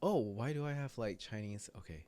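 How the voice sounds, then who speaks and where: A man's voice: on the low side, lively intonation, fairly quick, heavy background noise. A man giving casual reaction commentary as a video host, thinking aloud in a hesitant way.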